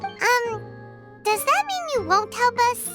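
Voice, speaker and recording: A woman voicing a tiny, chatty, childlike fairy companion in an anime-style video game, squeaky and earnest. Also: slow pace, exaggerated voice. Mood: worried. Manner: perky, expressive, childlike delivery. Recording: music playing behind the voice.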